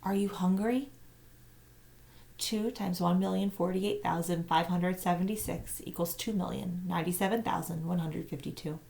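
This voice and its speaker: A woman, a teacher, reading a children's novel aloud with expressive narration. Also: lowish voice, moderate pace, vocal fry, few pauses.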